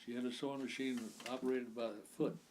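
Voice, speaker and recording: A man's voice: medium pitch, fairly even intonation, fast talker, a little mellow, slight background noise, poor audio. Eighty-year-old man reminiscing. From Virginia. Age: 80s. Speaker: interviewee. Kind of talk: oral history interview.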